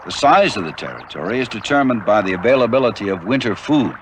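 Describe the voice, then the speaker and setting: A man narrating an educational nature documentary in a measured, informative way. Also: fairly low pitch, a little hiss or hum. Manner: measured, even, informative delivery. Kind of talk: scripted narration.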